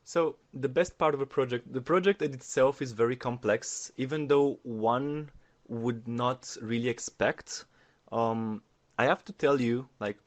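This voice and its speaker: A man at an ordinary middle pitch talks casually as a podcast guest. He explains things plainly and speaks straight to the listeners. His tone stays conversational. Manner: explanatory, addresses listeners directly. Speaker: podcast guest, former mentee and coordinator.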